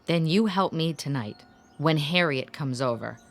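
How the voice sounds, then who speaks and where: An actress playing a brisk, no-nonsense grown daughter in a radio drama, firm and commanding. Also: female voice, deep voice, animated. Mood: firm, insistent. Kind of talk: old-time radio drama acting.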